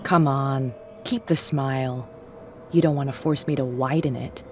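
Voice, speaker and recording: An actor playing a taunting, menacing gangster boss in a video game, sneering and threatening. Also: male voice, medium pitch, steady tone, measured pace, a little hiss or hum, lightly acted. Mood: mocking.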